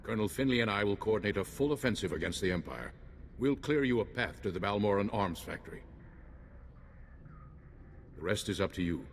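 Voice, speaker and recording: An actor playing a grave, authoritative commander in a video game, stern and steady. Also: male voice, lowish voice, brisk, pauses often. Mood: resolute. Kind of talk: video game cutscene acting. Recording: hall reverb with faint ambient noise.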